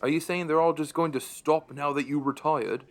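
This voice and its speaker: A man's voice: fairly high pitch, fairly even intonation, normal speed, lightly acted. A man playing a middle-aged pub landlord who narrates a radio play in a dry, understated, wry way. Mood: curious, dry.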